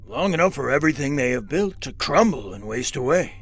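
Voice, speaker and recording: Sci-fi audio play. Imperious alien supervisor played by a woman, very deep voice, animated range, dry authority. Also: fairly quick, slightly rough, full character voice. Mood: matter-of-fact. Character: weary, imperious celestial supervisor alien overseeing planets.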